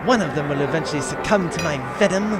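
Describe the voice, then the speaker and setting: An actor playing a pompous, gentlemanly villain bounty hunter with florid, formal diction in a comedic sci-fi audio drama. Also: male voice, on the high side, quick pace, loud music behind the voice, close to an everyday voice. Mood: scheming, patient.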